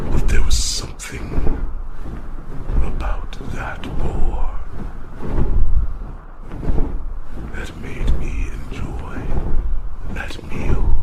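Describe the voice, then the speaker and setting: A man voicing a proud ancient dragon who tells his tale in a halting, dramatic, breathy growl, in a fantasy ASMR roleplay. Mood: savoring. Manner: dramatic, halting, addresses listener as human. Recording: loud rushing ambient noise.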